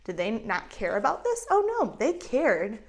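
Animated woman teaching kids a Bible story. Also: on the high side, unhurried. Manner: animated, acts out voices of characters. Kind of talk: storytelling Bible lesson for children. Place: indoors in front of a map backdrop. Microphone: lapel mic.

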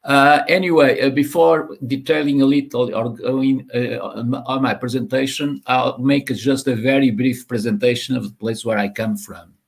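A man in his sixties from Portugal with a Portuguese accent, giving an online university lecture over a video call, talking haltingly with many repeated words and fillers. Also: mid-range voice, steady tone, vocal fry. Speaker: university professor, guest lecturer. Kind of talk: online lecture presenting slides. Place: home or office room, on a video call. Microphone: laptop or webcam mic on a video call.

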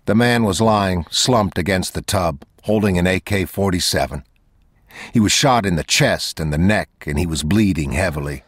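A man narrating an audiobook in a measured, unhurried reading. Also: low voice.

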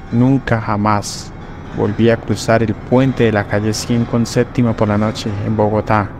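Low-voiced man narrating a horror tale. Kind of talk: dramatic scripted horror narration. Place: voice-over over rainy night images. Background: soft background music.

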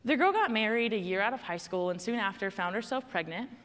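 A woman's voice: mid-range voice, lively intonation, fairly quick, fairly bright tone, in a large hall. A young woman with a Texan accent giving a prepared personal speech on stage, telling her story in a conversational, emphatic way. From Texas. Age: young adult. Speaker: student panel speaker. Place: stage in a large hall.

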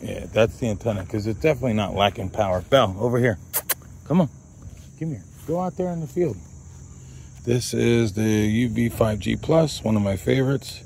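A man's voice: fairly low pitch, normal speed, creaky, darkish tone, a little hiss or hum. A man hosting an outdoor radio range test, repeating test calls and calling to his dog in an open field, recorded on a body-worn camera. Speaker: radio hobbyist host.

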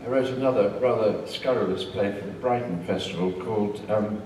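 A man's voice: fairly low pitch, fairly quick, a little mellow, slight background noise. An older English man, a panel guest, chatting in a rambling, self-mocking way at a convention. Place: convention hall, audience present. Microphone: handheld mic, far camera. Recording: echoey hall from far off.

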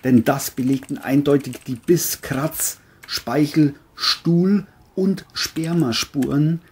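A man playing a crime novelist in an amateur radio play, speaking in a formal, deliberate manner. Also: a little high, steady tone, a little mellow, a little hiss or hum. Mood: deadpan, narrating. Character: boastful male bestselling crime novelist. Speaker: writer and narrator of his novel.

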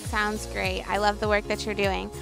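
A woman's voice: medium pitch, flat delivery, normal speed, creaky, over music. A young woman reporter interviewing students outdoors on a campus lawn with a handheld mic, upbeat and friendly. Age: young adult. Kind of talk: field interviews.

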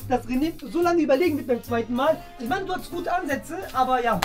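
A young man hosting a challenge vlog with playful banter, animated and teasing. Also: very high-pitched, fast. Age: young adult. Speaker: host. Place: small indoor studio storage rooms. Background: music.

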